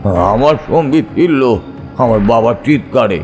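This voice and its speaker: A man narrating a scripted ghost story in a suspenseful, expressive, dramatic manner. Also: mid-range voice, measured pace, faint music behind.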